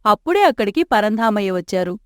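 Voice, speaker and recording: A woman narrating an animated moral story in an even, clear storytelling manner. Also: medium pitch, fairly quick, dry recording. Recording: dry close studio mic.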